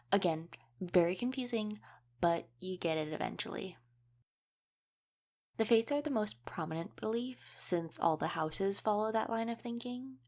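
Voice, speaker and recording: A woman's voice: mid-range voice, darkish tone, natural voice. A woman playing an easygoing young female wolf shifter in a casual audio roleplay, chatting and explaining things informally.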